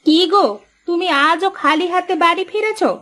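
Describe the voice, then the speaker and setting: A woman playing a high-pitched nagging wife. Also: moderate pace, bright, forceful tone. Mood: scolding. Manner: nagging, scolding. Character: sharp-tongued, practical fisherman's wife, bossy but supportive. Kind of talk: Bengali cartoon story voice acting.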